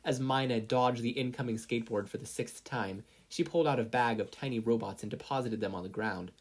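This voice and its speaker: A narrator with a husky voice reading fan fiction as scripted audiobook-style narration. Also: mid-range voice, a little fast.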